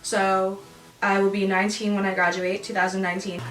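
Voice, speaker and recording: An eighteen-year-old woman talking casually in an interview, relaxed and full of "like". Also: medium pitch, brisk. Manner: relaxed, uses lots of "like". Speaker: cosmetology student. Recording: roomy echo, distant mic, faint music.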